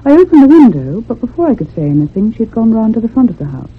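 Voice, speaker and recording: An actress playing an anxious, curious woman in a radio drama, speaking in a measured, literary and expressive way. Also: fairly high pitch, slight background noise. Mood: insistent. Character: anxious, curious convalescent unmarried woman narrating a haunting. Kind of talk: radio drama acting and narration. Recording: muffled, phone-like old radio sound.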